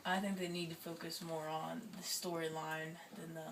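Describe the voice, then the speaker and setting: A man's voice: a little high, flat delivery, moderate pace, reverberant. Guy chatting as a guest, short casual replies, far from mic, pretty noisy. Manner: brief, informal answers. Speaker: guest, host's brother. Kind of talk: casual chat. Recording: distant mic, clear background noise.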